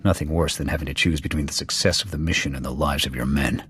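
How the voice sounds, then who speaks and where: An actor playing a gruff, proud alien military officer in a video game, with an American accent and a blunt, clipped military delivery. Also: male voice, extremely low pitch, fairly even intonation, quick pace, fairly bright tone, a little hiss or hum. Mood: challenging, serious. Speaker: soldier serving as second to his commander. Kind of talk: video game dialogue acting. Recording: background music under the dialogue.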